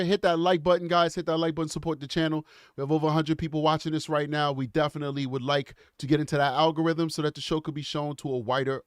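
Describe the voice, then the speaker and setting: A man's voice: on the high side, no room sound, clean studio sound. A man with a cold and a congested voice, hosting a casual livestream in a chatty, rambling way and laughing often. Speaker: show host.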